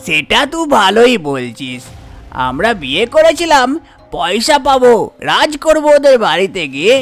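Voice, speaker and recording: A woman's voice: on the high side, big pitch swings, moderate pace. A woman voicing resentful, scheming jilted village men in a cartoon story. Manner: grumbling. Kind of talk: cartoon folk tale character voice.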